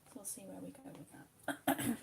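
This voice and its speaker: Woman, livestream host, soft half-whispered chatter, hesitant, mid-pitched voice. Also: fairly even intonation, measured pace, vocal fry, slight background noise. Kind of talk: casual chat while colouring.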